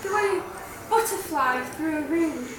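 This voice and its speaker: A woman's voice: sits high, animated, moderate pace. A woman performing a dramatic stage monologue as an angry, accusing young woman berating her housemate, scornful and emphatic. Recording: very echoey noisy room, distant mic.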